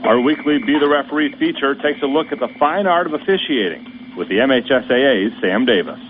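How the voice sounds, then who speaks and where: A man hosting a high school sports radio show, reading scripted news in a steady, measured announcer voice. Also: music in the background. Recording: tinny radio broadcast with faint hiss.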